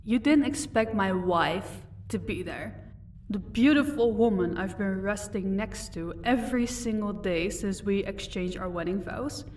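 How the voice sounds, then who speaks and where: A powerful vampire mistress played by a woman speaks from a little way off the microphone at a medium pitch. She is condescending and commanding, mocking her listener with unhurried composure, as in an intimate audio drama, over faint noise. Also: a little mellow, distant mic, natural voice. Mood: amused, explaining. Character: powerful female vampire, mocking, commanding, married lady of an estate. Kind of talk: audio roleplay acting addressed to the listener.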